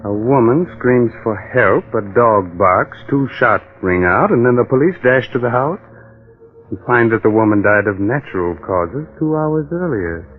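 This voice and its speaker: An actor playing a sinister, teasing horror mystery host in an old-time radio style, insinuating and mock-friendly. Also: male voice, medium pitch, expressive, normal speed, lightly acted. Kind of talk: 1940s radio horror narration. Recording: muffled old-time radio recording, music behind.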